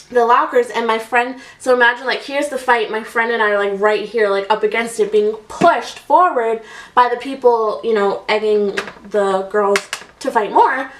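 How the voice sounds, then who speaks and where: A woman's voice: on the high side, a bit bright. A woman in her twenties telling a story in a rambling, casual way, filled with "like" and "um", as she does her makeup. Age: 20s. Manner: chatty, rambling, many "like"s and fillers. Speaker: beauty vlogger. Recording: echoey room, voice a bit distant.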